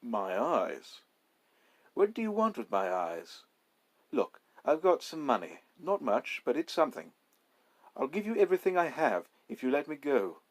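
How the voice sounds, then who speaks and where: A man's voice: mid-range voice, big pitch swings, unhurried, pitched above the performer's own voice. A man voicing the male traveler who is the hero of a short story, in an everyday voice. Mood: pleading. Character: frightened male traveler bargaining with a robber.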